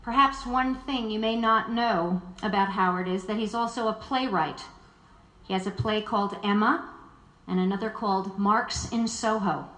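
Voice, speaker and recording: A woman speaks as host at a live gathering, with a mid-pitched voice. She delivers a formal, prepared introduction. The space adds clear echo to her words. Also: steady tone, moderate pace, fairly bright tone, a little hiss or hum. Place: indoor live event before an audience. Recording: echoey live room.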